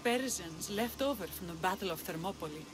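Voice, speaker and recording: A woman's voice: on the low side, expressive, brisk, over music, close to an everyday voice. Woman playing a grave, worried Greek village magistrate. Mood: grave, anxious. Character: worried, dignified female village magistrate of ancient Greece. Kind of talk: video game cutscene acting.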